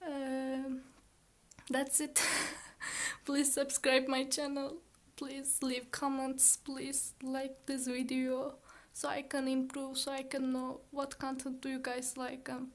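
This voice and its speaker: A woman's voice: high-pitched, completely flat delivery, slow. A young woman with a shy, careful way of talking, explaining a science topic to her viewers. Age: young adult. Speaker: channel creator and student. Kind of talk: educational explainer and chat to viewers.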